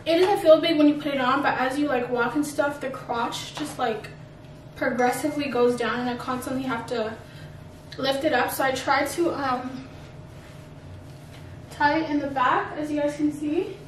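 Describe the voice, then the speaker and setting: A young woman with a high voice talks casually to her vlog viewers. She gushes and peppers her talk with "like". The recording is echoey, picked up far from the mic. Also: steady tone, moderate pace, a little hiss or hum. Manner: gushing, filler-heavy "like" speech. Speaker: vlogger. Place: indoors at home, echoey room.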